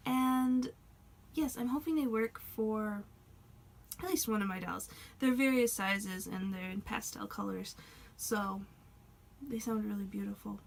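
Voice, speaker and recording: Soft rambling woman vlogger. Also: on the high side, gravelly. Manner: rambling, self-conscious, soft-spoken with laughs. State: losing her voice. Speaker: doll hobby vlogger. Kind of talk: casual vlog talk.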